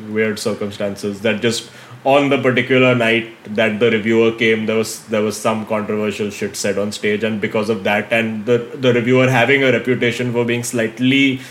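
A man's voice: lowish voice, low-quality recording. A man with an Indian English accent, a stand-up comedian chatting casually on a podcast and mixing in Hindi as he tells stories from touring. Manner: relaxed storytelling, switching between English and Hindi. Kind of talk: casual chat.

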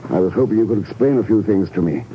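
A cool, shrewd spy hero of old radio, played by a man. His voice is fairly low, dry and terse, on a muffled 1950s recording with some faint noise. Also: animated, quick pace, close to an everyday voice. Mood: businesslike. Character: cool, shrewd male secret agent. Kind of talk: 1950s radio drama acting.

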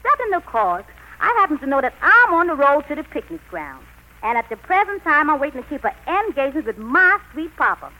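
A high, sassy Southern gal. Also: female voice, very animated, brisk, bright, forceful tone, heavily acted, low-quality recording. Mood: brazen, flippant. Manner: sassy, mocking. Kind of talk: 1940s radio drama acting. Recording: vintage 1940s radio broadcast.

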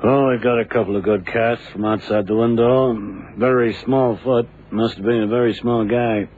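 Casting: male actor for relaxed police lab man in vintage radio play, narrow, tinny sound. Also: mid-range voice, normal speed, close to an everyday voice. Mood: matter-of-fact. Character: easygoing male police lab technician reporting findings. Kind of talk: 1960s-70s radio drama acting. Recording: tinny, noisy old radio recording.

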